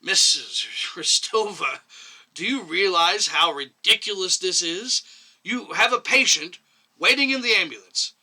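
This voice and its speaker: A man reading a story and voicing a stern, exasperated commission chairman, interrogating with emphatic, drawn-out words. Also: high-pitched, animated, pushed and bright, heavily acted, poor audio. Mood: incredulous, scolding. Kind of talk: dramatic short story reading.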